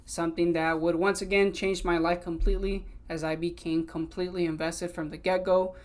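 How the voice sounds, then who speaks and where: A man's voice: high voice, little pitch movement, fairly quick. A man in his twenties from California, also raised in Mexico, hosting a solo podcast episode in an earnest, conversational way and switching between English and Spanish. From Sacramento, California, also raised in Mexico. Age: 20s. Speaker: podcast host.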